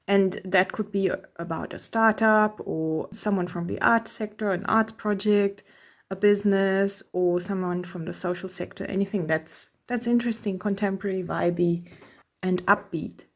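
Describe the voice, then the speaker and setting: Woman, medium pitch, informal wording, thinking aloud, pitching an idea. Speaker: academic contributor.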